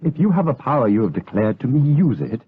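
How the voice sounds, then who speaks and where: An actor playing a tormented, lustful monk in a gothic radio drama, with fervent, overwrought delivery and a husky voice. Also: male voice, mid-range voice, very animated, quick pace. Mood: fervent, anxious. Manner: overwrought, fervent, formal archaic diction. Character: Ambrosio, tormented, lustful monk torn by passion. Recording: lo-fi, tinny 1980s audio drama recording.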